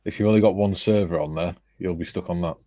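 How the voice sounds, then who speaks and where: Host: lowish male voice, dry close sound, jokey, reads chat comments aloud. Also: fast, dark tone, dry recording. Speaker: live stream host reading chat. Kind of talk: casual chat while model building. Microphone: phone.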